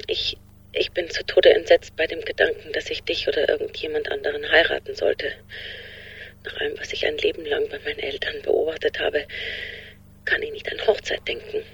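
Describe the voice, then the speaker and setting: An actress in a radio drama playing an anguished woman leaving a halting, pleading, whispery breakup message on a voicemail. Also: low-pitched, flat delivery, a bit bright, heavily acted. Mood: anguished confession. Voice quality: breathy, whispery. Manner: halting, pleading, repeating herself.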